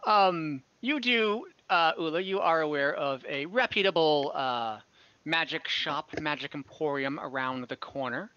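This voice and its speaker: A game master improvising the narration of a tabletop game over a video call, halting to choose words along the way. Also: mid-range voice, pushed and bright, dull audio.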